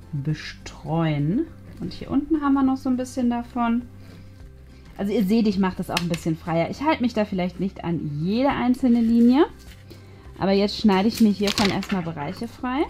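A woman's voice: mid-range voice, animated, normal speed, a little mellow. A woman hosting a craft video, casually narrating each step and thinking aloud as she goes. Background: background music.

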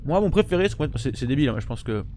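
Male streamer in his thirties, mid-pitched and easygoing, loose casual chat over clearly audible game gunfire. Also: big pitch swings, fast. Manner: chatty, mumbly while eating, bursts of loud frustration. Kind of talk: casual gameplay chat with viewers. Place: home streaming room, indoors. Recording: game sound noise behind voice. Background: game gunfire and sound effects.

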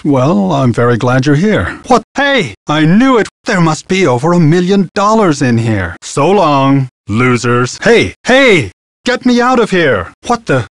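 An actor playing a pompous, scholarly antique dealer in a video game, formal and theatrical. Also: male voice, mid-range voice, dry recording. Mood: excited, scheming.

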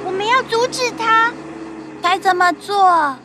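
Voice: very high voice, a little slow, music in the background. A performer voicing a plucky, eager young goat in a children's cartoon, with a childlike voice. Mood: determined. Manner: eager, exclamatory.

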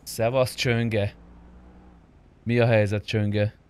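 A man's voice: on the low side, flat delivery, clear, resonant tone. A man chatting casually while roleplaying in an online game. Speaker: gamer in a multiplayer roleplay server.

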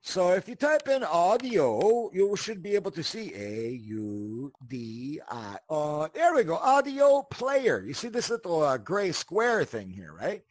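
A man's voice: on the high side, wildly swooping pitch, unhurried, hardly any pauses, dry recording. A man hosting a casual game tutorial, rambling, repeating words and often checking in with the listener. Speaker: host of a video game tutorial channel. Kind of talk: casual spoken tutorial with asides.

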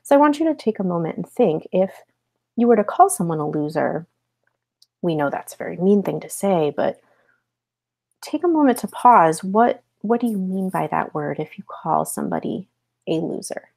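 A woman's voice: on the low side, big pitch swings, moderate pace, vocal fry, a little mellow. An American woman in her thirties teaching a read-aloud lesson, explaining things and checking in with questions. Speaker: elementary school teacher. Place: small home office, indoors.

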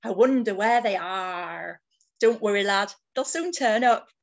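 A woman's voice: mid-range voice, measured pace, natural voice. Woman putting on a hearty, jolly storybook pirate. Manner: loud, hearty pirate talk.

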